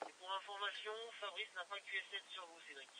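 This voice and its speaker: High-pitched man, casual amateur radio operator, repetitive phrasing, noisy and distant two-way link. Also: fairly even intonation, fast. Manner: repeats phrases, frequent tag words.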